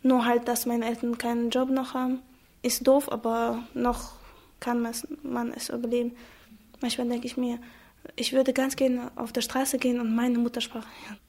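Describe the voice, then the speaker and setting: A young woman from Chechnya, a native Chechen speaker, giving a reflective, hesitant personal account with frequent pauses. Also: a little high, level tone, darkish tone. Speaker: interviewee. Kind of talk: personal testimony.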